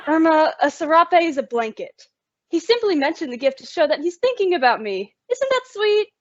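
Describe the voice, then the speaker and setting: A woman's voice: very high voice, big pitch swings, moderate pace, dry recording, muffled recording. A woman playing a sensible, wry young working woman in an old-time radio sitcom, patient but exasperated.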